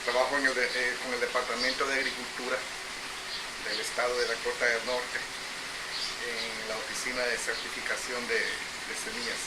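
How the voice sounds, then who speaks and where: A man's voice: medium pitch, level tone, heavy background noise. Reflective man telling his story. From El Salvador. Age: middle-aged. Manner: reflective, unhurried, repeats phrases. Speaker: interview guest, agricultural engineer. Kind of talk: personal storytelling in an interview.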